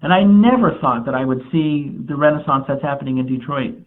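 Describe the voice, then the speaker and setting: A man joining by phone as a guest, talking in a measured, informative way. His voice sits a little high for a man, and the tinny line squeezes it thin. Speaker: automotive journalist guest. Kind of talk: interview answers.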